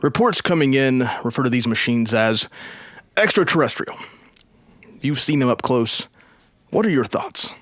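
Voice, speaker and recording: An actor playing a solemn, shaken news anchor in a 1930s-style radio drama, formal and grave. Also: male voice, mid-range voice, lively intonation, normal speed, pauses often, fairly bright tone, no echo, studio quality, lightly acted.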